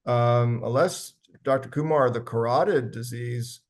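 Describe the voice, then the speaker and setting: A man speaking as a physician on a health panel, answering audience questions conversationally with many pauses and restarts. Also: mid-range voice. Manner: conversational, frequent fillers and restarts. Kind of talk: medical panel Q&A.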